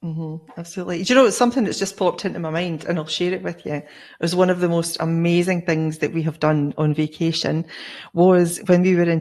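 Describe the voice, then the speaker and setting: A woman's voice: fairly low pitch, a little mellow. A middle-aged Scottish woman in casual conversation, telling travel stories in a chatty way. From Scotland. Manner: chatty, storytelling. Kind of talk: casual chat.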